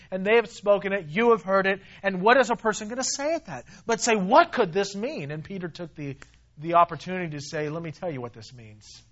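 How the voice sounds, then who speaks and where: A man preaching with a high, raised voice, pressing his points hard and often repeating words as he builds up. He swings from loud, stressed phrases to quiet, low asides. The recording sounds dull and muffled. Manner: emphatic, repeats himself, rises and drops in volume. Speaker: pastor. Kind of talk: sermon.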